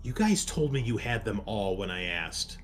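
A man running a tabletop roleplaying game as game master, narrating casually with halting, deliberate pauses. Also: lowish voice, very animated, over a noisy crowd.

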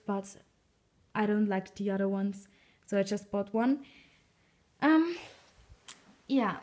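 Young Austrian woman, slow and giggly. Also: fairly high pitch. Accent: German-speaking Austrian. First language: German. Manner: slow, hesitant, frequent ums and giggles. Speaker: vlogger. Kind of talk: casual haul video. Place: bedroom at night, indoors.

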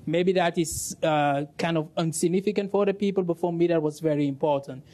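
A man's voice: fairly high pitch, brisk, warm tone. Young Cameroonian man, French native, earnest. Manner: earnest storytelling, laughs easily. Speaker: panelist. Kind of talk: panel discussion answer. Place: stage of a conference room. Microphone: lapel mic.

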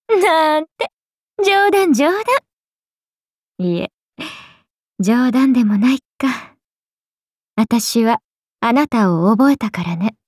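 A woman's voice: darkish tone, no room sound, clean studio sound, full character voice. A woman voicing a playful, teasing serpent-oni goddess for a mobile game, with breezy big-sister airs and a drawling, sake-loving manner. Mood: predatory, teasing. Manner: breezy, drawling, teasing, slipping into low murmurs. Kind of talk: Japanese mobile game character voice lines.

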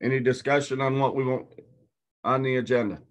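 A man runs an advisory council meeting over a video call, his voice sitting at an ordinary middle pitch. He steps plainly through each agenda item, asking for motions and roll calls. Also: fairly even intonation, brisk. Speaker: meeting chair.

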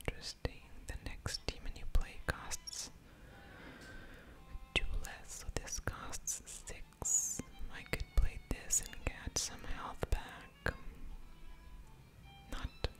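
A woman whispering ASMR game commentary in a breathy voice with soft mouth sounds, musing aloud over each move. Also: slow pace, long pauses. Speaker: game streamer, ASMR creator.

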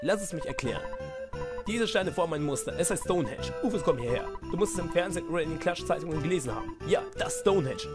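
A man with a cold doing goofy, excitable let's-play commentary and reading game dialogue aloud. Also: fairly high pitch, fast. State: has a cold. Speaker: let's player. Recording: music playing behind voice.

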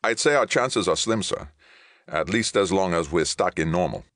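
A man's voice: sits low, expressive, normal speed, fairly bright tone, no echo, studio quality, pitched above the performer's own voice. A man voicing a tense starship captain with a clipped military delivery in an audiobook. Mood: grim, urgent. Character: tense, terse male starship captain under pressure. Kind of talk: audiobook character dialogue.

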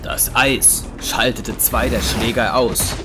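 A man's voice: mid-range voice, steady tone, slow pace, very noisy. A man narrating a scripted audio drama. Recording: clear music behind.

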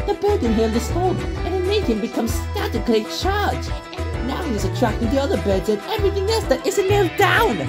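A woman performing cartoon animal characters in an expressive comic dub. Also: high-pitched. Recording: voice under loud background music.

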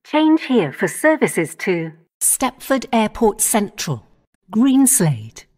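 A woman giving automated onboard train announcements in measured, clipped phrases, naming each station in turn. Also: medium pitch, very animated, darkish tone.

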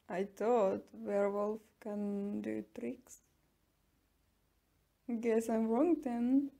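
A woman playing a smug, taunting tsundere captor in an ASMR roleplay, mocking and teasing with drawn-out pauses. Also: medium pitch, creaky, warm tone, exaggerated voice. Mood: dismissive, taunting. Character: smug, taunting tsundere young woman keeping a captive werewolf.

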